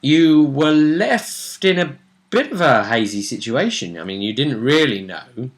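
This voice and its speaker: A man with a mid-pitched voice talks casually as a game master. He recaps a role-playing session conversationally, with a joking touch. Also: sing-song, unhurried.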